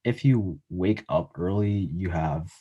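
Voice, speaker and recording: Deep, husky young man chatting casually. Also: warm tone, dull audio. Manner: halting, filler-heavy, earnest. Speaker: podcast guest, college student. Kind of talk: casual interview chat.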